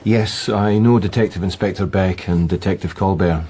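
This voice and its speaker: A man's voice: deep voice, moderate pace, mellow, husky. An actor playing a prim, woman-hating male suspect in a radio drama, speaking formally and hesitantly. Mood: guarded, hesitant.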